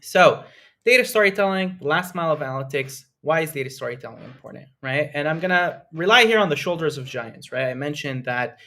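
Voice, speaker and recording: A man's voice: a little high, lively intonation, fairly quick, bright, forceful tone. A young man from Lebanon hosting a live online webinar, talking in an enthusiastic, conversational way with frequent fillers and "right?" tags. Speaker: webinar host and presenter.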